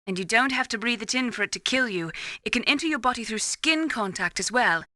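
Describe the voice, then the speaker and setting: A woman playing a composed, secretive scientist in a video game, explaining things in a cool, precise, measured way. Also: fairly high pitch, animated, bright, forceful tone, no room sound. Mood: clinical, informative.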